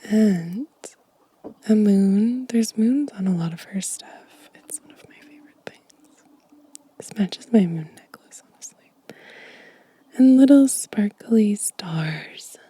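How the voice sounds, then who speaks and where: A woman speaks in a very soft, dark ASMR voice, mostly whispered and full of breath. She is gentle and casual, drifting between whisper and quiet voiced speech as she shows things off. The sound is close and dry. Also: mid-range voice, slow pace, slightly rough, dry recording. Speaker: ASMR video host. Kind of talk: ASMR show and tell, whispered and soft-spoken. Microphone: close microphone, dry sound.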